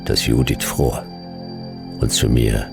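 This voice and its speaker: A laconic private eye narrating a science-fiction radio play. The voice is extremely low and gravelly, delivered slowly in clipped deadpan phrases. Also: male voice, loud music behind the voice, exaggerated voice. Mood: terse, brooding. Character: laconic, hard-boiled male detective narrator in sci-fi desert. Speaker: narrator and lead actor. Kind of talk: noir first-person radio drama narration.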